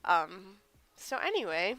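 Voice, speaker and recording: A woman's voice: mid-range voice, very animated, slow pace, bright, forceful tone, no echo. A woman, congested from a cold, chatting casually and rambling a little as she hosts a crochet live stream at home.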